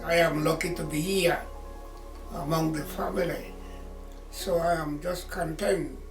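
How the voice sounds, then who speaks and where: Very old man reminiscing slowly. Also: on the high side, steady tone, creaky, faint music behind. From Belize. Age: 100s. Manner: slow, reminiscing, gentle. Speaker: interviewee. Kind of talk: recalling memories in an interview. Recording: roomy, from a distance.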